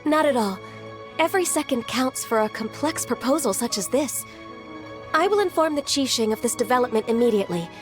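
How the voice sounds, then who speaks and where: An actress voicing a diligent, soft-spoken young secretary in a video game, gentle, formal and a little shy. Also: female voice, fairly high pitch, lively intonation, a little fast, natural voice. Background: game music.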